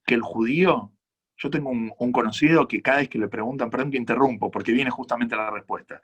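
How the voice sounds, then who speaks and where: A man with an Argentine accent, a rabbi giving earnest, measured answers to audience questions at a conference talk. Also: medium pitch, husky, highs cut off. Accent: Argentine Spanish. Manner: earnest, measured, reflective explanations.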